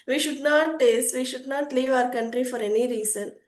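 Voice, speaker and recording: A woman with a high voice talks calmly and earnestly as a guest in a casual conversation. She laughs often between thoughts. Her voice rings in a roomy, echoing space on a video call. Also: measured pace. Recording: echoey room.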